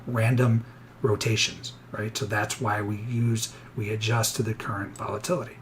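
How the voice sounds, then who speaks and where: Man, slightly hoarse, lowish voice, chatty live trading commentary with clear noise underneath. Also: little pitch movement, normal speed. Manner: chatty, thinks aloud, many restarts. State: a little hoarse. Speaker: futures day trader streaming live.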